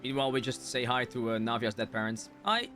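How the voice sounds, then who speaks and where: A man's voice: medium pitch, flat delivery, fast, pushed and bright. A man giving casual live game commentary, musing aloud and joking in a chatty way. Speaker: game streamer. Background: game music.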